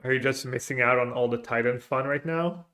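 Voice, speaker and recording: Bright-voiced man streaming a card game. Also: mid-range voice, brisk. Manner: thinking aloud, planning plays; chatty and casual with viewers. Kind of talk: live gameplay commentary, reading chat.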